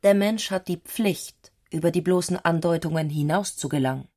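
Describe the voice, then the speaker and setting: A woman's voice: lowish voice, normal speed, mellow, natural voice. An actress playing an intense, eccentric young society woman who speaks with urgent insistence in a radio play. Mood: insistent.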